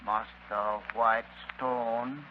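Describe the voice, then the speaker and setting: Old tribesman for vintage radio play: grave, loyal, halting broken English, extremely slow delivery, dull old broadcast sound. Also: medium pitch, steady tone. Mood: grave, urgent. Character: old native mine worker, solemn mystical tribesman, loyal. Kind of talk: 1930s radio acting. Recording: muffled, noisy 1930s radio broadcast.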